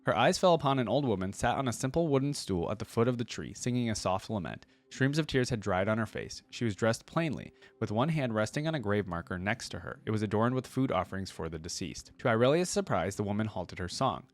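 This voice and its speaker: A man's voice: lowish voice, animated, a little fast, few pauses. A man hosting a reaction video, reading a story aloud and commenting on it in a casual, slangy way. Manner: casual, slangy, thinking aloud between passages.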